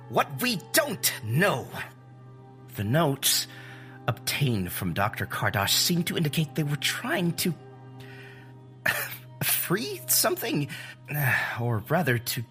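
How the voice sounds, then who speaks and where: Slow, earnest male scientist. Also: a little high, wildly swooping pitch, bright, forceful tone, soft background music, full character voice. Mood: grave, awed. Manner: deliberate, groping for simple analogies. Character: earnest, cerebral male scientist explaining an unearthly mystery. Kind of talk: naturalistic audio drama acting.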